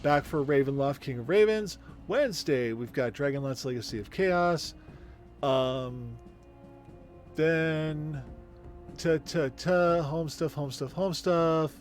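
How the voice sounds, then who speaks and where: A man in his forties, the game master, chatting casually and rambling with many pauses. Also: fairly high pitch, faint music behind. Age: 40s. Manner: rambling, hesitant. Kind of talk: casual stream chat.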